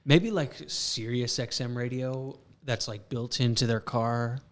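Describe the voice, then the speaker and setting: A man's voice: medium pitch, fairly even intonation, a little slow. A young man in his twenties co-hosting a casual podcast, rambling with lots of fillers. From South Florida. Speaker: podcast co-host. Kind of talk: casual chat.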